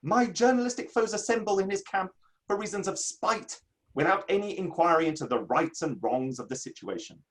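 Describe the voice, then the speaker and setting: A male actor as an indignant literary gentleman of the Victorian age, in a dramatic play reading. The voice sits on the high side and moves with great animation. The phrasing is grandiose, formal and touchy. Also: lively intonation, a little fast. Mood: affronted. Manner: declamatory, grandiose, formal old-fashioned phrasing. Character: indignant Victorian literary man, proud, theatrical, easily affronted.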